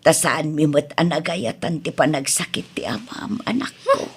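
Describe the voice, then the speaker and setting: A woman's voice: low-pitched, expressive, moderate pace, breathy edge, full character voice. Woman acting a patient Ilocano woman, pleading. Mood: sad, pleading. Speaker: drama voice actor. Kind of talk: radio drama acting.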